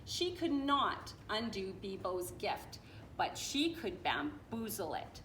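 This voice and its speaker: A woman reading a children's story aloud in an expressive, playful way, with casual asides. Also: medium pitch, slow. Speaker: storybook reader. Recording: echoey room with faint noise.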